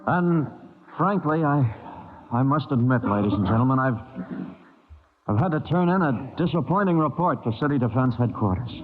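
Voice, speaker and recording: A man's voice: medium pitch, animated, normal speed, faint music behind, lightly acted. An actor playing an earnest, harried meeting chairman in a vintage radio drama, speaking formally in pleading oratory. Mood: earnest, disappointed. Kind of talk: 1950s radio drama acting. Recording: tinny old radio broadcast, long hall reverb.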